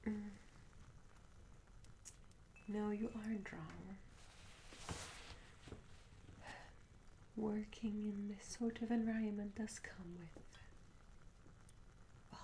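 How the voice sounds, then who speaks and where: Woman playing a soft, whispery young tavern maid. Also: medium pitch, slight background noise, rough recording. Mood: reserved, candid. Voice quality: soft, breathy half-whisper. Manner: gentle, hesitant, old-fashioned formal wording. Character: curious, shy young medieval tavern maid, wistful and polite. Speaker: ASMR roleplayer. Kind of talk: soft-spoken ASMR roleplay. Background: tavern ambience sounds.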